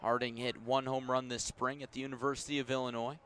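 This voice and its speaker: An American man doing live radio play-by-play of a baseball game, measured in his narration and raising his voice for big plays. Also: mid-range voice, level tone, a bit bright. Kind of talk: live sports commentary.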